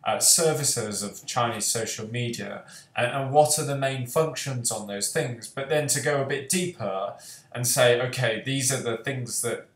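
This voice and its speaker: A man's voice: medium pitch, measured pace, a bit bright. A young man hosting an online panel on a video call, speaking earnestly with many fillers. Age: young adult. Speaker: host and author. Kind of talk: panel discussion. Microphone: headset on video call. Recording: roomy echo.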